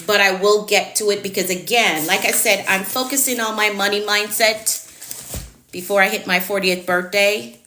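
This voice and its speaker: Jamaican woman, late thirties, live-stream coach; bright, chatty, direct delivery, roomy sound. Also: medium pitch, slight background noise. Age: 30s. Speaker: productivity coach. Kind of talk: casual live-stream talk.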